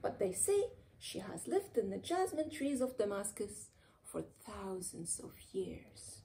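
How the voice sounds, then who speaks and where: A woman reading a children's storybook aloud in an expressive, dramatic way with playful emphasis. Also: medium pitch, a little slow, slight background noise. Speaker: children's author reading her own book aloud. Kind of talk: animated storybook read-aloud.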